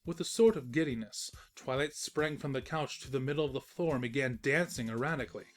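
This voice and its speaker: A man playing a snarky, defensive invisible narrator of a comic pony story, reading in a wry, expressive storytelling manner. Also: mid-range voice, fairly quick, soft background music, natural voice. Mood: narrating. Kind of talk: scripted narration of comic fan fiction.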